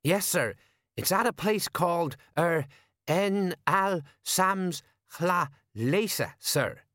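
A man's voice: high voice, animated, takes it slowly, fairly bright tone, no room sound, studio quality, voice pushed up, heavily acted. A man voicing a nervous, dim, blustering older sergeant in an audiobook, blunt and colloquial. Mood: eager.

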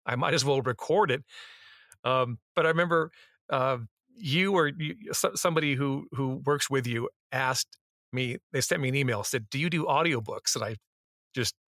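A man's voice: mid-range voice, normal speed, fairly bright tone, no echo, studio quality. A man, a radio host and voice actor, chatting as a guest in a jokey, self-deprecating way on a shared mic. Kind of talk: casual interview chat. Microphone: shared single mic.

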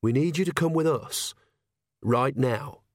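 A man's voice: mid-range voice, unhurried, dry recording, studio quality, natural voice. A man voicing a sensible, worried young woman who is blunt and sceptical, in a storybook reading. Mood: urgent. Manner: urgent, blunt, questioning.

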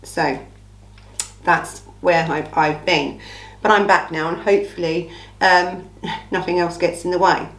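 A woman with an English accent and a slightly croaky, under-the-weather voice, hosting a casual true-crime Q&A in an informal, emphatic way with rhetorical asides. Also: fairly low pitch, fairly even intonation, measured pace. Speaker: true-crime channel host. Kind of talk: casual chatty Q&A monologue. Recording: echoey room.

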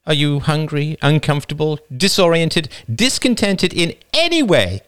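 A man voicing an older wife in a storybook reading, speaking in a brisk, dryly teasing way. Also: fairly high pitch, sing-song, a bit bright, clean tone, pitched above the performer's own voice. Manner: dry teasing delivery.

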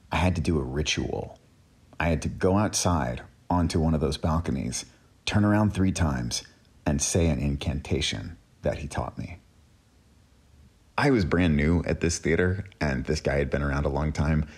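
Very deep, husky male storyteller. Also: a little mellow. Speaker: host and storyteller. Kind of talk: casual personal storytelling.

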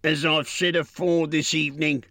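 A suspicious, quarrelsome male troll, voiced by a man in a raised, drawling tone. He speaks slowly in coarse slang, picking fights. He is a rough storybook brute. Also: medium pitch, no room sound, clean studio sound, pitched above the performer's own voice.

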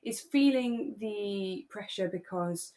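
Female podcast co-host, effusive and giggly, medium-pitched, relaxed conversational delivery. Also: slow pace. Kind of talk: casual chat about a radio drama.

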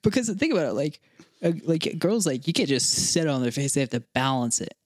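A man's voice: fairly high pitch, very animated, brisk, no room sound. A man in his twenties co-hosting a podcast, teasing and challenging in casual, crude, sweary banter, close on the microphone. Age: 20s. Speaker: podcast co-host.

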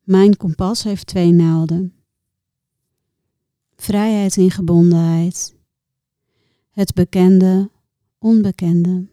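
Slow, very mellow woman reciting poetry. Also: fairly low pitch, steady tone, dry recording, clean studio sound. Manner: measured, contemplative delivery with deliberate pauses. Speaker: poet reciting own poems.

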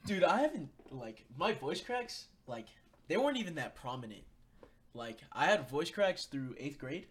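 A young man co-hosting a casual podcast over a video call, animated and giggly, often poking fun at himself. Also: a little high, moderate pace. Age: young adult. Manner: animated, giggly, self-deprecating. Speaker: podcast co-host. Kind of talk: casual chat.

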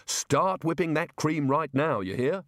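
A man's voice: a little high, expressive, unhurried, fairly bright tone, dry recording, clean studio sound, voice pushed up. A man voicing a proud, matey chef in an audiobook story, bluff and cheery. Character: proud, beaming, matey male opera-house chef.

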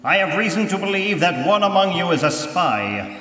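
A man's voice: sits high, sing-song, a crowd in the background. Man playing an ominous, echoing unseen avenger. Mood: grave, commanding. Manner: solemn, measured, formal pronouncements. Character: The Shadow, unseen avenging crime fighter, commanding and ominous. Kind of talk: live radio drama acting. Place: church used for live stage performance. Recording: distant, echoey, noisy live hall recording.

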